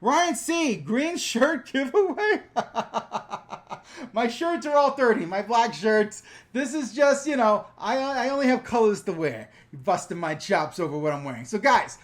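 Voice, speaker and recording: Middle-aged male host from the NY/NJ area, higher-pitched voice, chatty and jokey, runs a live viewer Q&A on a GoPro. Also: lively intonation, moderate pace. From New York/New Jersey area. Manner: chatty, joking, laughs often. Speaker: host answering viewer questions. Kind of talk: casual live-stream Q&A. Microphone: GoPro camera.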